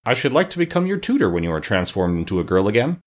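A man voicing a pompous, self-satisfied, highly educated giant insect in a storybook. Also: sing-song, quick pace. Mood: proud. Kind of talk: storybook character voice.

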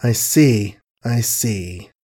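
A man voicing a mild, kindly, awkward gentleman in an audiobook, speaking gently and hesitantly. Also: medium pitch, normal speed, lightly acted. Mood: slow, kindly. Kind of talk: audiobook character acting.

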